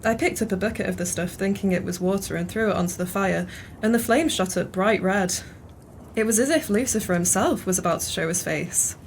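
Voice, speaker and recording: An actress playing a teasing, sharp-witted pub regular in an audio play, with a wry manner. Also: female voice, mid-range voice, brisk, slight background noise, lightly acted.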